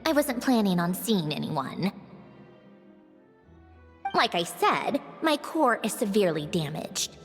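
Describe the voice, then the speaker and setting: A woman voicing a haughty, sardonic mechanical young aristocrat in a video game, speaking in a crisp, dry manner. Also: medium pitch, sing-song, slow pace, pauses often, soft background music. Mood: guarded.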